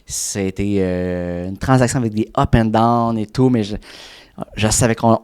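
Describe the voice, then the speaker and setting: A man in his thirties with a Quebec French accent, a real estate broker chatting casually as a podcast guest, often restarting his sentences and filling pauses. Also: fairly low pitch, fairly even intonation, darkish tone, clear, resonant tone. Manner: rambling, many restarts and fillers.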